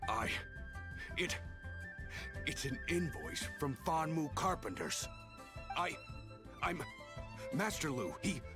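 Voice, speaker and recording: A man's voice: a little high, very animated, takes it slowly, long pauses. An actor playing a shifty male merchant in a video game, evasive and stammering when cornered, over game music. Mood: panicked.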